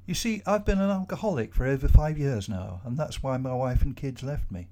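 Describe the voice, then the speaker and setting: A man voicing a sad, weary middle-aged boss who talks calmly and reasonably in a storybook reading. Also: medium pitch, brisk, voice pushed up, natural voice.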